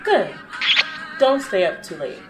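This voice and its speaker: A woman's voice: mid-range voice, sing-song, slow, over loud music, poor audio. A woman playing a caring but teasingly firm mother of a teenager in an audio drama.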